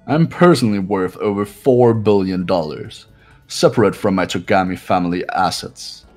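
A man voicing an arrogant, condescending young heir in a visual novel game, speaking in a pompous, measured way. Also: sits low, a little mellow, soft background music, lightly acted. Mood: pompous, self-satisfied.

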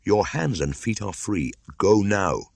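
A man's voice: fairly low pitch, animated, slow pace, vocal fry, breathy edge, no echo, voice pushed up, exaggerated voice. A man reading a storybook aloud, voicing a stern young jungle-raised boy who speaks in an old-fashioned, commanding way. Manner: stern, archaic speech.

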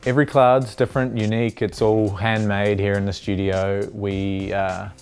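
A man's voice: on the low side, level tone, moderate pace, soft background music. A young man, a designer, talks reflectively in a documentary interview and often restarts his sentences. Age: young adult. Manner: reflective, with frequent restarts and filler "uh". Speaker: designer, studio founder. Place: large indoor design workshop. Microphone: lapel mic.

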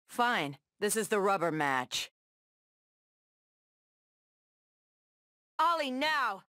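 An actress playing a tough, sardonic superheroine brawler in a video game, tossing out sassy, taunting one-liners. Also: female voice, on the low side, a bit bright, dry recording, clean studio sound, heavily acted. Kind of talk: video game fight banter.

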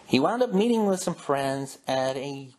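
A man's voice: mid-range voice, animated, moderate pace, darkish tone. A man hosting a show, talking in a casual, informative way. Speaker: host. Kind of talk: casual informative talk on old radio show history.

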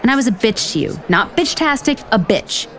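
A woman's voice: a little high, big pitch swings, moderate pace, a bit bright. Frank, lovelorn woman author. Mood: apologetic, nervous. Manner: frank, rambling, profane. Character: earnest, self-deprecating, foul-mouthed lovelorn woman author. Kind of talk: romantic comedy audio drama acting.

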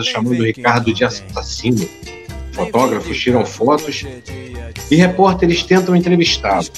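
A male narrator reads scripted scene descriptions for an audio drama. His pitch is medium, and music plays clearly behind the voice.

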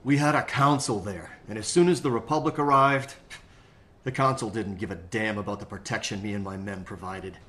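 An actor playing a worldly, hard-bitten mercenary leader in an audio drama, explaining things matter-of-factly. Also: male voice, lowish voice, moderate pace, pushed and bright. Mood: grim, explaining. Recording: echoey room.

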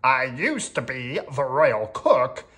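An apologetic storybook cook, acted by a storyteller, slow to get the words out. The manner is hesitant and plain, with lively turns of pitch. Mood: matter-of-fact. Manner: hesitant, matter-of-fact.

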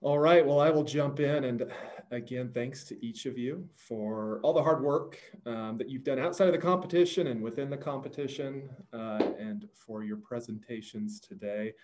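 Casting: male judge, warm relaxed tone, average pitch, halting informal announcement. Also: slight background noise. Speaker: competition judge. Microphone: video call.

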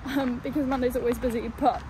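A woman's voice: high-pitched, steady tone, brisk, poor audio. A young woman in her twenties with an English accent, chatting casually in a vlog and rambling with lots of fillers. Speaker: vlogger.

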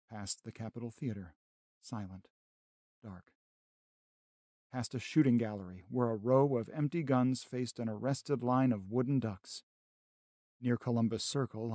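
A man plays an educated survivor of an invasion in a radio play. His mid-pitched voice is solemn and measured, and the sound is close and dry. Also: warm tone, no room sound, close to an everyday voice. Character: grave, educated male survivor recounting an alien invasion. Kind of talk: dramatic radio narration and acting.

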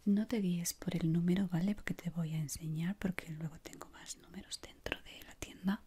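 A woman with an accent from Spain whispers gently and soothingly in a breathy voice, playing an ASMR shop assistant up close to the mic. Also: on the low side, fairly even intonation, moderate pace. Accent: Spanish from Spain. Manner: soft, gentle, soothing, many diminutives. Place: small indoor room. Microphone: close microphone. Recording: close mic with clear background noise.

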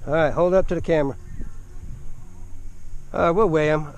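A man's voice: fairly high pitch, steady tone, moderate pace, a little mellow, lo-fi sound. A man teasing a buddy and boasting while fishing, recorded outdoors on a boat by an action camera. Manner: boastful, teasing, whooping when fish pull. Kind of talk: casual banter while fishing. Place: outdoors on a pontoon boat on a lake.

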